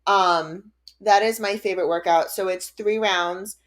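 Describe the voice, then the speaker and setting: A woman's voice: medium pitch, fairly even intonation, unhurried. A woman in her late thirties co-hosting a podcast, chatting casually in an upbeat way and laughing often. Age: 30s. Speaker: podcast co-host. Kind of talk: casual chat.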